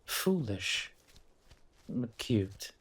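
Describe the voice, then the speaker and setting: A man voicing a playful, possessive forest monster in a horror audio roleplay, teasing with drawn-out menace in a husky, breathy voice. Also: on the low side, very animated, full character voice. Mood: amused menace. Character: taunting male forest monster hunting its prey, possessive, playful.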